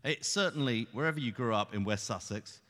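A man's voice: medium pitch, brisk, sharp tone. A British man co-hosting a live football show on stage with a playful delivery. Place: on stage in a large theater. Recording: reverberant theater hall.

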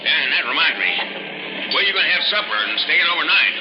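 A man's voice: on the high side, animated, quick pace, lightly acted. An actor playing a folksy, good-natured, hesitant mining-town man in a 1960s radio drama. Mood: genial. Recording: dull vintage radio recording with music.

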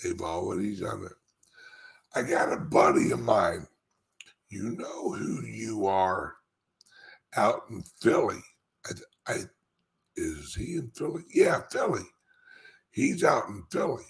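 A man with a low, raspy voice hosts an informal commentary for his followers. He speaks extremely slowly, with long dramatic pauses and gravelly fry. He leans hard on key phrases and repeats them for emphasis. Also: very animated. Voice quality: speech impediment. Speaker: cryptocurrency commentator and show host.

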